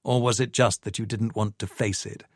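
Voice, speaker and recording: A man's voice: on the low side, little pitch movement, moderate pace, no room sound, clean studio sound. A man reading an audiobook, voicing a controlling man who speaks with firm, insistent reasoning. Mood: cold. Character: controlling man, defensive and coldly persuasive. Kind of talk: audiobook dialogue.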